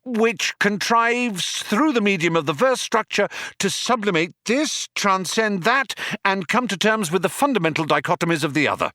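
A man's voice: high voice, very animated, unhurried, fairly bright tone, no room sound, studio quality, pitched above the performer's own voice, heavily acted. A man voicing a flustered young Earthman who flounders and hesitates as he bluffs his way through. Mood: bright, floundering.

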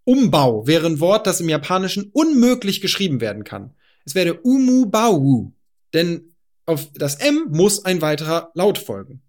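Calm German man explaining languages. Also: fairly high pitch, measured pace. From northern Germany. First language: Low German. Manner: calm, methodical, personal explanations. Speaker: podcast host. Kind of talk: explanatory monologue.

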